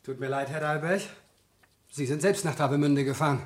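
A man's voice: a little high, a little fast, natural voice. An actor playing a polite, earnest male office employee who stands his ground in a 1970s German radio crime drama. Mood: polite, subdued. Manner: polite, plain-spoken, earnest.

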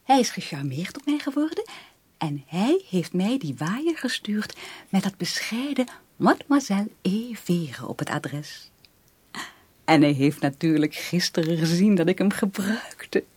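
A woman's voice: on the low side, sing-song, measured pace, a little mellow, full character voice. Dreamy young woman in love, widely swooping voice. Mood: dreamy, confiding. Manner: breathy, rapturous, half-whispered confiding. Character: dreamy, infatuated young aunt confiding in a small child. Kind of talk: 1980s Dutch radio drama acting.

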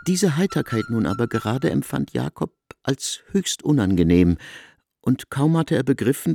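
A man's voice: mid-range voice, creaky, warm tone. A man narrating a literary radio drama in a formal, articulate storytelling manner.

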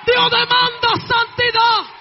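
A woman with a Dominican Spanish accent preaching a fervent Pentecostal testimony, impassioned and shouting, with repeated exhortations. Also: very high-pitched, completely flat delivery, slow, gravelly, some chatter behind. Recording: dull lo-fi sound with faint music. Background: faint music.

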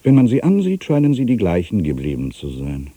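An actor playing a melancholy writer in his fifties, musing haltingly to himself in a radio play monologue, with a gravelly, husky voice. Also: fairly low pitch, huge pitch swings, mellow, dry recording, exaggerated voice. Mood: wistful, animated. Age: 50s. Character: aging melancholy male writer back in his hometown.

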